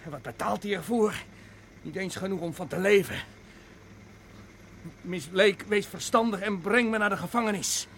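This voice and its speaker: A high-pitched, nervy outcast executioner in a radio play. He hesitates, stammers and keeps defending himself. A faint rumble of a car runs behind him. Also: moderate pace, creaky, a bit bright. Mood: bitter, self-pitying. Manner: hesitant, stammering, self-justifying. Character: nervous, defensive executioner, a lonely drinking outcast. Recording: noisy radio play recording, car sounds. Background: car engine and road noise.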